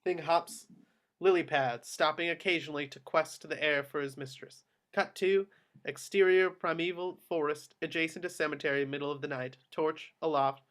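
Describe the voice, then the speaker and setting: A man narrates a screenplay at a table read. His voice sits a bit higher than average. He reads out scene headings and action descriptions as scripted narration. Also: fairly even intonation, moderate pace, a bit bright.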